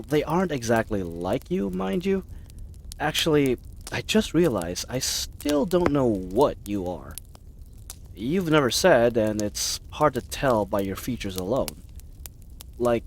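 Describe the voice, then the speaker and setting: Man playing a bumbling knight with animated, swooping pitch. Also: a little hiss or hum, lightly acted. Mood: helpful, curious. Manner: rambling, hesitant, awkwardly earnest. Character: bumbling, babbling, kind-hearted adventuring knight man. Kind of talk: character roleplay audio drama, addressed to listener.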